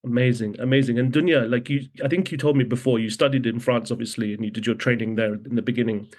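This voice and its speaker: A man's voice: medium pitch, fairly even intonation, fast talker, a little mellow. A man hosting a podcast, introducing a guest and asking questions in a friendly way, with casual fillers. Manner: friendly, loose with fillers like "like" and "you know". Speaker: podcast host.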